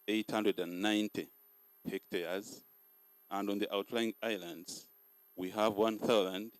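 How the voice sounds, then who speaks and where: Deep-voiced manager reading figures. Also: male voice, no room sound, poor audio. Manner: steady, reads out figures deliberately. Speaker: field operations manager. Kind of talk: ceremony speech.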